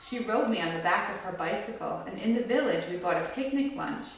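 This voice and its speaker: A low-pitched-to-average woman's voice is not needed here; give a woman reading a children's story aloud expressively, with some creak in her voice. She is recorded from well across a very echoey room, with faint noise behind her. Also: animated, brisk, far from the mic. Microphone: far from the reader.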